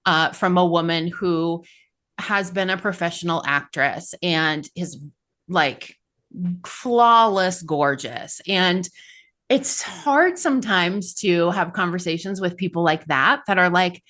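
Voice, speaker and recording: Woman, podcast host, chatty, animated storytelling, slightly low voice. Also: slow pace. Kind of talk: interview conversation.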